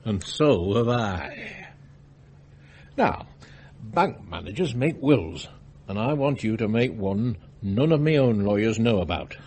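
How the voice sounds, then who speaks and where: An actor playing a blunt, domineering older English business magnate in a radio drama, curt and commanding. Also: male voice, fairly low pitch, lively intonation, takes it slowly, a little mellow, slightly rough, full character voice. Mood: commanding, secretive.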